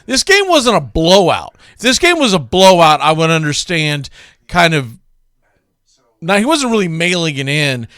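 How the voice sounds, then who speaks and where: Male sports radio host, slightly high clean voice, conversational and opinionated commentary on old calls. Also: fairly bright tone, no echo, clean studio sound. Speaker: host of a baseball radio show. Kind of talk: commentary introducing classic baseball calls.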